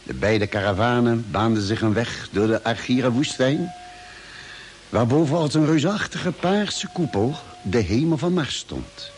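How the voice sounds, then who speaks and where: An actor playing a thoughtful ship's doctor who narrates a science-fiction radio drama in a measured way. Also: male voice, fairly low pitch. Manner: measured, reflective.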